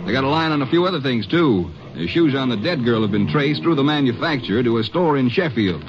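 A man's voice: mid-range voice, animated, quick pace, lightly acted. An actor playing an easygoing, mildly skeptical American lawman sidekick, casual and laconic in a radio drama. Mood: casual, doubtful. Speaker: supporting actor. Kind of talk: 1950s radio drama acting. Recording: noisy, muffled old radio recording.

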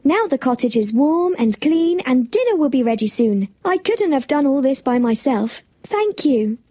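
Storybook princess, female actor: cheerful, kind, soft-toned and animated, gently coaching a child. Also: high voice, normal speed. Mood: cheerful, gentle. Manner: encouraging, addressing a child listener.

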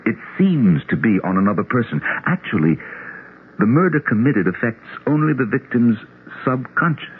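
An actor playing a grieving, obsessed psychiatrist in a radio drama, explaining himself with deliberate, halting intensity. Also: male voice, medium pitch, very animated, lightly acted. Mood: fervent, lecturing. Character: grieving psychiatrist, earnest, obsessed with his theory. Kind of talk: 1970s radio drama acting. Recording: tinny, noisy old radio broadcast.

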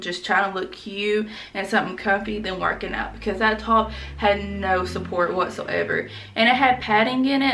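A woman's voice: mid-range voice, a bit bright, recorded from a distance. A young woman chatting frankly in a casual fashion haul vlog, full of "like" and slang, talking to a camera a few feet away in a small room at home. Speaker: fashion vlogger. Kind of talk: casual try-on haul chat.

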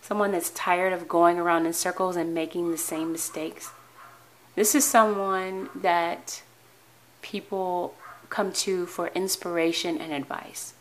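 Hoarse, low-voiced woman tarot reader. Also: unhurried, a little hiss or hum, rough recording. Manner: calm, earnest delivery with frequent restarts. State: hoarse, tired voice. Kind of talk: weekly tarot reading to camera. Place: indoor tabletop with cards, voice off camera.

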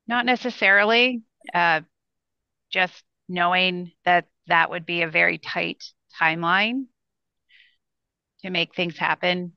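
A woman in her sixties, a state education official, answering questions on a video call with measured, reassuring replies. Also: on the low side, fairly even intonation, creaky, clear, resonant tone, no echo. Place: small room indoors. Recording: dull, lo-fi video call audio.

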